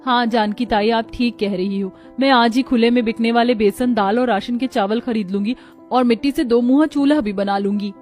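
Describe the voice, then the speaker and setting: Cartoon role: dutiful daughter, twenties, played by a woman. Earnest, resolute, highish pitch. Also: moderate pace, a little mellow, faint music behind, lightly acted. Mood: earnest resolve. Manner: earnest, respectful.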